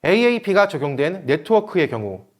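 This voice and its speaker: Formal male presenter, high lively pitch. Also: brisk. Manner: formal, read-out delivery. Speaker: presenter at an online seminar. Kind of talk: scripted technical presentation.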